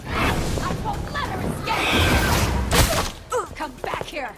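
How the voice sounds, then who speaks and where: A woman's voice: very high-pitched, sing-song, extremely slow, heavily acted. A woman voicing a vicious, unhinged witch in a video game, shrieking threats in a menacing, theatrical way. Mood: murderous, frenzied. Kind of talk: video game combat barks. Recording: loud music and clatter behind.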